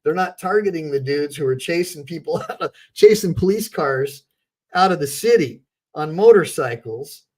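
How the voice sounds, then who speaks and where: An American man hosting a livestream, his voice pitched a little above the usual male range. He talks straight to his viewers and reads their chat aloud. He repeats his points with emphasis and plenty of fillers. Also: animated, normal speed, a little mellow. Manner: repetitive, emphatic, with fillers and restarts. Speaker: attorney and livestream host. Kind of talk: casual livestream commentary.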